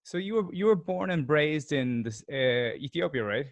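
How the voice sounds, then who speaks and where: A man hosting an interview, chatty and curious, asking relaxed questions. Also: on the high side, moderate pace, lo-fi sound. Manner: chatty, curious, drops in learned phrases. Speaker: host. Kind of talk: casual interview chat.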